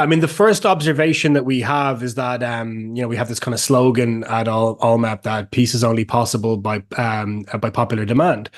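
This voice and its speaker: A middle-aged Irish man speaking as an interview guest, at a medium pitch for a man. He explains things in a measured, thoughtful way, pausing on fillers and restarting phrases now and then. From Ireland. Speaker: guest, peace organization director. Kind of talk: interview answers.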